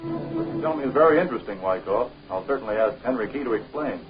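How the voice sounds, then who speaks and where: An actor playing a young, confident American adventure hero in a 1930s film serial, speaking in a direct, matter-of-fact way. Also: male voice, medium pitch, big pitch swings, quick pace, close to an everyday voice. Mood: cool, probing. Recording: dull 1930s film soundtrack, faint music.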